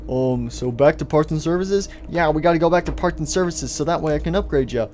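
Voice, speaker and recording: A man play-acting an excitable, resourceful little boy who mutters hesitantly and bursts into exclamations, in an improvised story. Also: a little high, a little fast, slight background noise, natural voice. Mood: casual.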